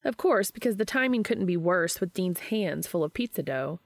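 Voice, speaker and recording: A woman narrating fiction as an audiobook reader, in an expressive storytelling style. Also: lowish voice, moderate pace, no echo, clean studio sound.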